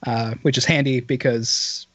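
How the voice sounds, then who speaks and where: A man co-hosting a casual podcast, hoarse and raspy after being sick, telling stories in a rambling, self-interrupting way. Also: mid-range voice, steady tone, measured pace, fairly bright tone, dry recording. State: hoarse, recovering from illness. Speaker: podcast co-host. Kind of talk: casual banter.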